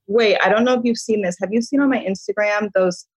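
A woman's voice: medium pitch, fast talker, slightly rough, no room sound. A middle-aged woman from the US Midwest, chatting casually as an interview guest, telling stories with lots of laughs and fillers. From Chicago, US Midwest. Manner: chatty storyteller, laughing often, filler-heavy. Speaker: beauty brand founder, guest.

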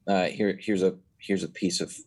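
19 yr old guy rambling thru a story on a podcast, low voice kinda husky. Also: steady tone, normal speed, darkish tone. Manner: rambling storyteller, many restarts. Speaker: podcast guest. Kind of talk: casual chat.

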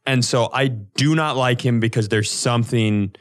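A man co-hosting a comedy podcast, telling animated, casual stories and acting out the dialogue. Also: medium pitch, moderate pace.

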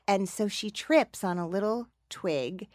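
A woman's voice: mid-range voice, unhurried, dry recording, studio quality. Chatty middle-aged woman hosting a podcast. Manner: chatty, laughing, animated storyteller. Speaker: podcast host. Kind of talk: casual chat, anecdote. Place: podcast booth in a studio. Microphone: studio microphone.

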